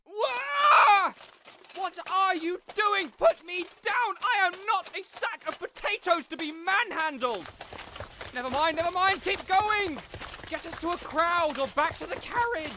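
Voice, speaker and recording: A man's voice: high-pitched, big pitch swings, unhurried, noisy background. An actor playing a spoiled, arrogant young nobleman in an audio roleplay drama, theatrical and sarcastic, sneering at his guard. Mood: panicked.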